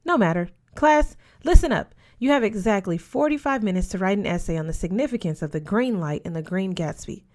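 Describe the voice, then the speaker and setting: A woman voicing an eccentric, absent-minded older male English teacher who talks haltingly and repeats words. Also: mid-range voice, expressive, darkish tone, lightly acted. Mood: brisk, distracted.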